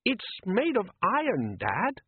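A man's voice: huge pitch swings, takes it slowly, phone-quality sound, a little hiss or hum, heavily acted. Les, a man voicing an anxious young fisherman's son. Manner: high, pleading questions.

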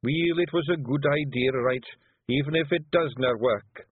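A man's voice: fairly high pitch, moderate pace, husky, no echo, pitched above the performer's own voice. A man voicing a timid, dim-witted male pictsie with a Scottish accent who hesitates and mumbles. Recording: tinny phone-like sound.

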